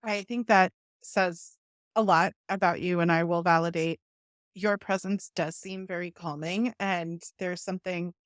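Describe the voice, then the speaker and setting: A woman hosting a podcast, asking questions in an energetic, enthusiastic and affirming way. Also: fairly low pitch, unhurried, no echo, clean studio sound. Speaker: podcast host. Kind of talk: casual interview talk.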